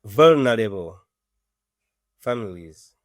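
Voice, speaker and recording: A Ugandan-accented man in his thirties speaks as an interview guest. His voice sits a little low, and he explains things earnestly and haltingly, with a dry, unechoed sound. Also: animated, dry recording. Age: 30s. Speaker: interview guest, orphanage director. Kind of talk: conversational interview answers.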